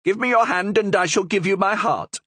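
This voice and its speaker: A man voicing a clever talking parrot that chirps formal compliments in a high, thin, distant-sounding voice. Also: normal speed, bright, forceful tone, husky, no echo, full character voice. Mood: flattering. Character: clever talking parrot, flattering and sing-song.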